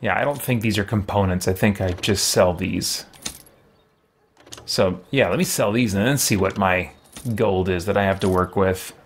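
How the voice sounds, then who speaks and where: Low, creaky-voiced man thinking aloud. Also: slight background noise. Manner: unhurried, musing aloud, hesitant. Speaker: streamer playing a role-playing game. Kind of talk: casual let's play commentary. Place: small indoor room with shelves, at a desk.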